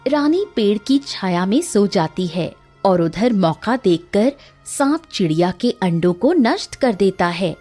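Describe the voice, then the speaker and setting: A woman's voice: mid-range voice, animated, unhurried, mellow, faint music behind. A woman narrating a scripted cartoon moral story as its storyteller.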